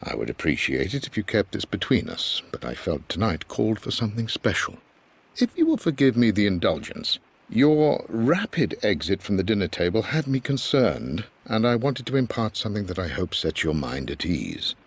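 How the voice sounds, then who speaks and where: Game casting: kindly older butler, formal and caring, reading a letter with highly expressive, swooping intonation. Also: male voice, moderate pace, gravelly, husky, exaggerated voice. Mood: gentle, earnest. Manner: formal, gentle, reassuring. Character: kindly, devoted older male household butler. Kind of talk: letter read aloud, game voice acting.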